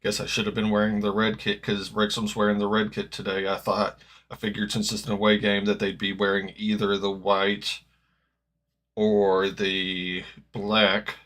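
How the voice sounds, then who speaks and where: A man with a slightly gravelly voice, chatting casually as he reacts live to a football match, rambling and correcting himself as he goes. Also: fairly low pitch, monotone, normal speed, fairly bright tone. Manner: rambling, self-correcting, hopeful fan. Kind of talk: casual live-stream chat.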